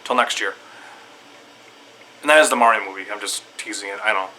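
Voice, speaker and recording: A man giving casual, rambling opinions to viewers in an excitable fan's manner, often repeating himself. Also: lowish voice, brisk, a little hiss or hum, poor audio. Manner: excitable fan talk, repetitive, loosely structured. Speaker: video creator giving opinions.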